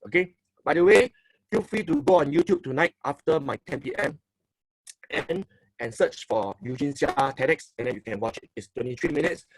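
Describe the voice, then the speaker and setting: A middle-aged man with a Singaporean English accent gives an emphatic, fairly high-pitched seminar talk, recorded close and dry. He keeps repeating phrases and tagging lines with "okay?" as he coaches his listeners. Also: no room sound, poor audio. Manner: emphatic, repeats phrases, asks for likes and applause; tags sentences with "okay". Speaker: motivational speaker and coach.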